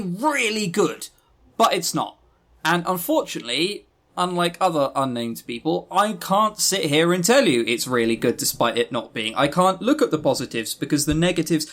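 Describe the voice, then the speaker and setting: A man's voice: sits high, fairly bright tone. A man co-hosting a podcast, giving blunt, opinionated, sweary reviews in a casual chatty way. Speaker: podcast co-host.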